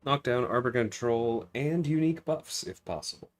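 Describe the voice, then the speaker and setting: A man's voice: mid-range voice, normal speed, faint music behind. A man hosting a casual gaming livestream, thinking aloud in a rambling way as he chats to viewers. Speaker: livestream host.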